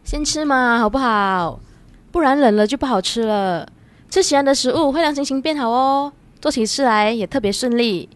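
A woman's voice: high voice, normal speed, lightly acted. A woman playing a chatty, devoted young girlfriend who is eager to please, in a radio drama. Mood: eager, coaxing.

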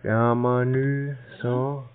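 A man's voice: medium pitch, little pitch movement, extremely slow. Naive-sounding man on a phone line. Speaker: prank caller posing as an interested customer. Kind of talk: casual phone conversation. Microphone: phone call. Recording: tinny narrowband phone line.

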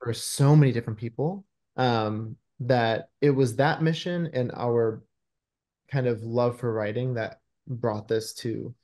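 A man's voice: medium pitch, fairly even intonation, a little slow, a little mellow. A man speaking conversationally as a screenwriter being interviewed over a video call from a room indoors. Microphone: video call microphone.

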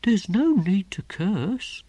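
A man's voice: sits high, sing-song, takes it slowly, mellow, husky, no echo, voice pushed up, full character voice. A man playing a timid, bewildered novice. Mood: nervous. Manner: hesitant, bewildered questioning. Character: timid young male novice gardener, simple-minded.

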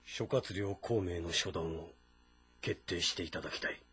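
An actor playing a guilt-ridden, formal military strategist in a dramatic game, restrained and solemn. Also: male voice, sits low, moderate pace, sharp tone, slightly rough, exaggerated voice. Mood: grave, despairing. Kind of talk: Japanese audio drama game acting. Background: music.

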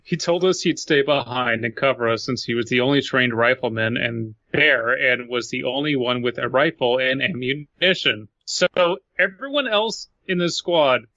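A man's voice: mid-range voice, moderate pace, few pauses, sharp tone, dry recording, dull audio. A man hosting a podcast, reading a horror story aloud and commenting on it. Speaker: podcast host.

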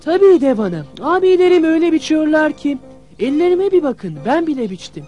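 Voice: high-pitched, sing-song, brisk, highs cut off, faint music behind. A performer voicing a clever, cheeky young boy in an old fairy-tale cartoon, polite and earnest with a crafty streak. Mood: eager, wheedling.

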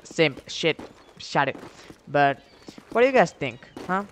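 A man's voice: fairly high pitch, big pitch swings, unhurried, a bit bright, a little hiss or hum. A young man casually telling a story while commentating on a game, rambling in slang with heavy swearing. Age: young adult. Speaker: gaming video creator telling stories over gameplay.

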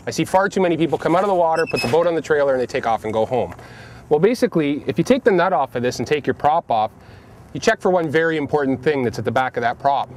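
Higher-voiced Canadian man, outdoor how-to. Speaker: pro angler giving tips. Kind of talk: practical how-to demonstration talk. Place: outdoors beside a boat and trailer. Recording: outdoor vehicle and ambient noise.

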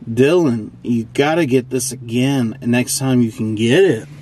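A young man talks to his camera in a loose, rambling travel-vlog style. His voice sits at an ordinary middle pitch. The recording carries clear noise from a vehicle and the outdoors. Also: unhurried, darkish tone. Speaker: vlogger. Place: parked truck cabin and outdoors on trails. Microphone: handheld or propped camera.

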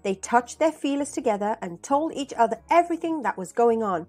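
A woman's voice: on the high side, fairly quick. A middle-aged woman hosting and reading a children's storybook aloud, expressively. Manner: expressive, addressing children directly. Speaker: storyteller and host. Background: background music.

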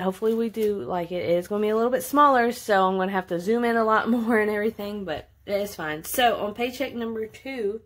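Female vlogger, late 20s, mid-range voice, casual and chatty, thinks aloud while walking viewers through a task. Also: medium pitch. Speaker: budgeting vlogger. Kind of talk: casual narrated budget walkthrough.

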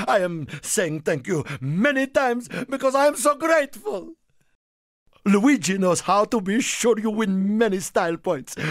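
An actor playing an excitable, friendly little Italian car in a video game, with an Italian accent and a theatrical, effusive manner. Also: male voice, high-pitched, no echo, clean studio sound, heavily acted. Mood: thrilled, grateful, then eager, proud. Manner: theatrical, effusive, speaks of himself in third person. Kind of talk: video game character voice lines.

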